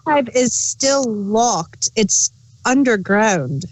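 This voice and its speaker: A woman's voice: fairly high pitch, animated, slow, slight background noise, rough recording. A woman co-hosting a casual unscripted review, laid-back and a little hesitant as she explains things. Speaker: podcast co-host reviewing audio dramas.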